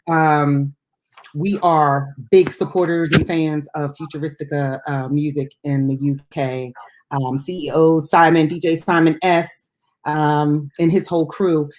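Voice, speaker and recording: A woman with a fairly low voice co-hosts an unscripted music talk show. She is chatty and enthusiastic, speaking casually as if among friends. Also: fairly even intonation, unhurried, darkish tone, slight background noise.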